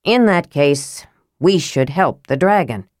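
Woman voicing a stern, low-voiced knight. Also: animated, slow pace, darkish tone, no room sound, studio quality. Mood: serious. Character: stern, earnest, impatient male knight. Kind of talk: audiobook character acting.

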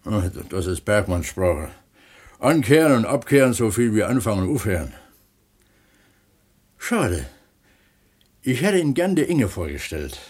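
An actor playing a down-to-earth, contented mine pump attendant, unhurried and colloquial, in a radio play. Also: male voice, fairly low pitch, very animated, darkish tone, husky, heavily acted. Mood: matter-of-fact. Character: plain-spoken, contented male mine pump attendant who writes. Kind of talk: 1970s East German radio play acting.

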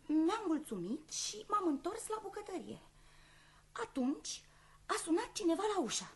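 A chatty housemaid in a radio play, her high voice swinging up and down. She is eager and a little self-important, searching for words as she confides. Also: sing-song, exaggerated voice. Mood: confiding, hesitant.

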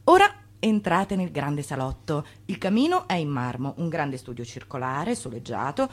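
An actress playing a brisk, showy estate agent in an Italian radio play, with theatrical sales patter. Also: female voice, low voice, very animated. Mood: salesy, effusive.

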